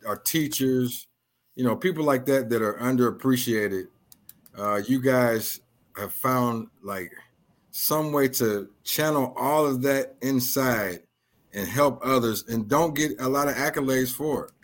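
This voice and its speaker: A male co-host with a mid-pitched voice, talking loosely and casually. He jokes and affirms his guests in an easygoing, offhand way. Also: unhurried. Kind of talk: casual talk-show chat.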